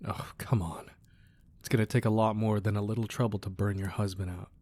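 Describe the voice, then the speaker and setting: Guy doing a mafia boss husband for asmr roleplay, dark raspy voice, soft n close, protective and sweet on his wife. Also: lowish voice, steady tone, a little fast, lightly acted. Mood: reassuring, reluctant. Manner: soft, intimate, affectionate murmur. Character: gruff but tender, protective crime boss husband. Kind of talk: intimate ASMR romantic roleplay acting.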